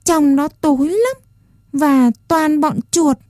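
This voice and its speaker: A woman voicing an ugly little wooden doll boy who pleads and sobs in a timid, soft voice. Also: normal speed, no echo, studio quality, close to an everyday voice. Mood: pleading. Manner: pleading, sobbing, then shrill and defiant.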